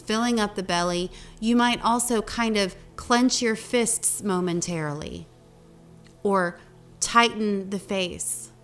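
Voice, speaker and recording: A woman leading a guided meditation as a teacher, speaking gently in short phrases with long pauses between them. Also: medium pitch. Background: meditation music.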